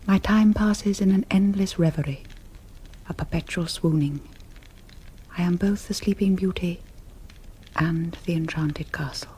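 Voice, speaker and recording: Actress as a languid, melancholy young vampire countess. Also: female voice, fairly low pitch, a little slow, long pauses, dark tone, a little hiss or hum, natural voice, poor audio. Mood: dreamy anguish. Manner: theatrical, incantatory, swooning. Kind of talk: 1970s radio drama acting.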